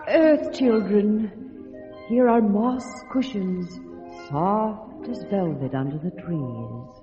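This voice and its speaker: Soothing sinister enchantress, languid, old radio fantasy drama, dull reverberant recording, music behind. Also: female voice, medium pitch, lively intonation. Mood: gracious, commanding. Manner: slow, languid, coaxing. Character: sinister enchantress, soothing lady of a magic forest. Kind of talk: old-time children's radio drama acting.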